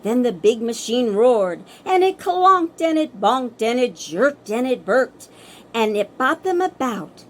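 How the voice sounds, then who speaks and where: A woman storyteller performing a children's bedtime story in rhythmic verse, theatrical and expressive. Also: fairly high pitch, slow, slight background noise.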